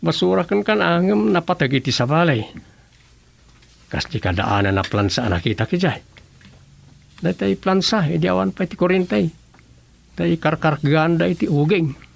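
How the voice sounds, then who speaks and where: Gravelly Ilocano townsman with schemes. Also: a little high, animated, normal speed, long pauses, full character voice. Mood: animated, scheming. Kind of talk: Ilocano radio comedy drama dialogue.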